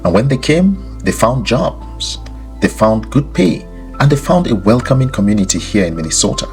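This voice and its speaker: A man hosting a podcast, giving scripted explanatory commentary in a deliberate way, with rhetorical questions and repeated phrases for emphasis. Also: medium pitch, very animated, faint music behind. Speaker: podcast host.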